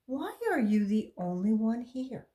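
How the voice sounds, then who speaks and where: A woman's voice: a little high, lively intonation, measured pace, warm tone, voice pushed up. A woman voicing a curt, commanding bald man who questions a child while reading a storybook aloud.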